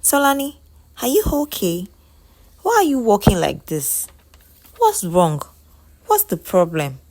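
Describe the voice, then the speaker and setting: A woman's voice: mid-range voice, huge pitch swings, measured pace, darkish tone, voice pushed up, heavily acted. A Nigerian woman voicing an emotional young female friend in a story, exclaiming and pleading. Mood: anxious concern.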